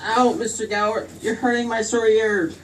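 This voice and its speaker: A high, pleading young boy acted by a man in an old-time radio play. He is earnest and scared, heard from a distance through noticeable noise. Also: fairly even intonation, moderate pace, close to an everyday voice. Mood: frightened, pleading. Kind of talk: 1940s radio drama acting. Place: theater stage. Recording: distant stage pickup with clear noise.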